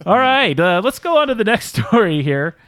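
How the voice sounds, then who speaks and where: A man's voice: high voice, big pitch swings, moderate pace, a bit bright, no room sound, clean studio sound. An American man from Utah co-hosting a podcast, bantering casually and jokingly. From Utah, United States. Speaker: podcast co-host.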